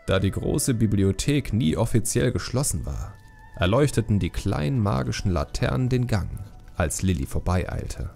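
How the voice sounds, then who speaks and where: A man's voice: lowish voice, vocal fry, warm tone. A man reading a scripted fantasy story as an audiobook narrator.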